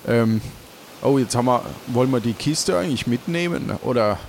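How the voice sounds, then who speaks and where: A man's voice: mid-range voice, expressive, normal speed, gravelly, slight background noise. A man casually leading an improvised adventure role-play as its game master.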